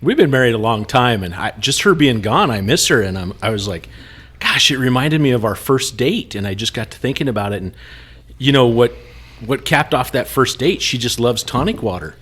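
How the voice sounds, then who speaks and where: A man's voice: medium pitch, animated, a little fast, fairly bright tone, slightly rough. A married man co-hosting a casual podcast, chatting and telling a joke. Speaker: podcast co-host. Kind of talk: casual chat.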